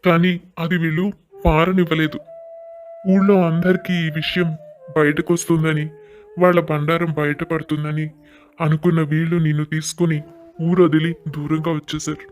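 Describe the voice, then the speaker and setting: An older father played by a male actor speaks in an audio family drama. His voice is high for a man and stays very even. He is earnest and sorrowful, with music underneath. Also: normal speed, close to an everyday voice. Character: sorrowful older father revealing a long-hidden truth. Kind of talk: scripted audio story dialogue.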